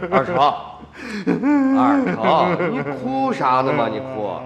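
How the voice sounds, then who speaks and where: Pushy village dad, radio drama, highish lively voice, wheedles and repeats himself. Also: male voice, very slow, creaky, muffled recording, full character voice. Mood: coaxing, scheming. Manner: insistent, repetitive. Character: wheedling, pushy village father feigning greed. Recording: reverberant studio drama with music.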